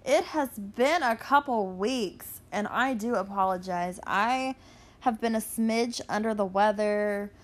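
Young woman, solo podcast host, unhurried delivery, blunt and profane casual venting. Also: mid-range voice.

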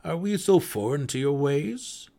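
A man voicing an old deep gnome warden in a fantasy audiobook, hearty and melodic with a growl, speaking in formal, old-fashioned phrasing. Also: expressive, measured pace, creaky, warm tone. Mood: hearty, curious. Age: older. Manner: formal, archaic inverted phrasing.

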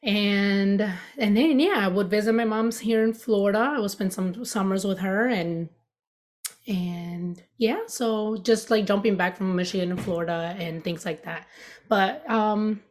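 Woman, 30s, from the United States, podcast guest, casual chat, rambling, laughs a lot, middle pitch. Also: unhurried. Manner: chatty, laughs often, rambling with fillers.

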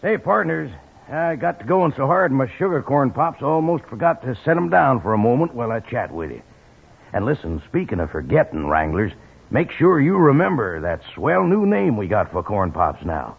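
A man's voice: mid-range voice, very animated, normal speed, vocal fry, husky. An American man doing a folksy, enthusiastic 1950s radio sponsor announcement in chummy cowboy patter. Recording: tinny old radio broadcast.

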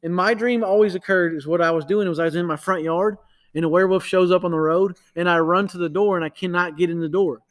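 30s guy chatting about faith, slightly high voice, keeps restarting sentences. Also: steady tone, fast talker. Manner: rambling, self-correcting, many restarts. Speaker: podcast co-host.

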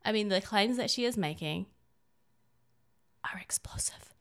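A woman with a middle-pitched voice delivers conversational political commentary as a co-host. She speaks emphatically and with clear opinions, addressing both her partner and the audience. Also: animated, a little slow, fairly bright tone. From born in China. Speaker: talk show co-host.

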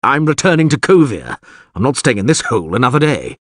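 Old male wizard, acted by a male narrator, raised pitch, husky, agitated, emphatic, fantasy audiobook. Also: wildly swooping pitch, slow pace, a bit bright, dry recording, studio quality, full character voice. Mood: scornful. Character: old excitable wizard, panting and indignant.

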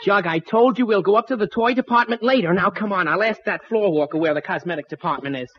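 A man's voice: high voice, fast talker, close to an everyday voice. An actor playing an earnest, flustered teenage boy with an eager, exclamatory manner in a 1940s radio comedy. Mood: eager, impatient. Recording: muffled 1940s radio broadcast.

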